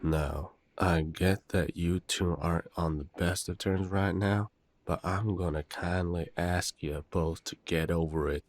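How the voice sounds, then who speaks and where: A man playing a courteous, protective eldest werewolf brother in an audio roleplay, gently authoritative and polite. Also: sits low, steady tone, a little slow, dry recording. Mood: firm, scolding. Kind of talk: roleplay audio drama acting.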